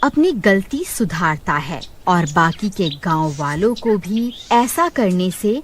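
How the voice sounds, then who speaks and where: Female narrator for moral tales: unhurried, slightly high voice, faint noise behind. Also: lively intonation. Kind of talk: scripted children's moral story narration.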